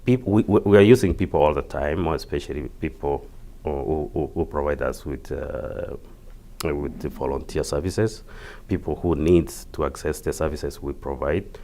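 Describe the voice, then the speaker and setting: Very deep-voiced Kenyan man. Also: mellow. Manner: halting, repeats words. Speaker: interview guest, community health project worker. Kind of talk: interview answers, explaining.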